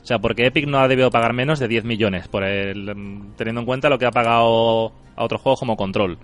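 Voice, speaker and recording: Male podcast host, rambling and pragmatic, mid pitch. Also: fast. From Andalusia, Spain. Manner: pragmatic, rambling, restarts often. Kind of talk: casual podcast chat.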